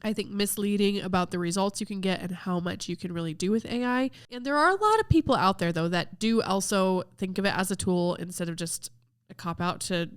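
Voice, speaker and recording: A woman talking in a relaxed, upbeat way as she hosts a podcast. She sounds a bit stuffed up from a cold, but her voice stays smooth and clear. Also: fairly low pitch. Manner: chatty, upbeat, lively recommending tone. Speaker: podcast host. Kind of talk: casual video review talk.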